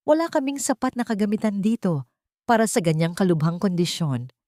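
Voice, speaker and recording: A woman's voice: mid-range voice, very animated, brisk, dark tone, no room sound, clean studio sound, natural voice. A woman voicing a cold, uncaring clinic nurse in a storybook drama, hard and dismissive.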